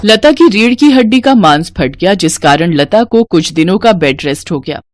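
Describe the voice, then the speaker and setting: A woman's voice: on the low side, animated, normal speed. A woman storyteller reading scripted narration of a moral tale.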